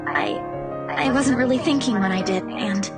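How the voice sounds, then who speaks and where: A woman voicing a gentle, apologetic, sleepy young woman in a visual novel. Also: mid-range voice, very animated, moderate pace, over loud music, natural voice.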